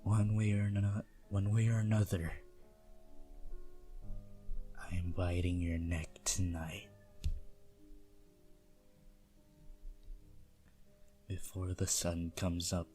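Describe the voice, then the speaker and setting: A man playing a possessive, seductive vampire prince in a teasing, intimate ASMR roleplay with quiet menace. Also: low voice, little pitch movement, normal speed, soft background music, natural voice. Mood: coaxing, menacing.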